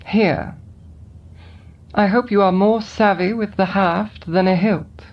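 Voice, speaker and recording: A woman voicing a composed, scheming adult woman in an audio drama, calm and coolly persuasive. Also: mid-range voice, takes it slowly, lightly acted. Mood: measured. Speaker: voice actor. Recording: dull lo-fi recording with faint music behind.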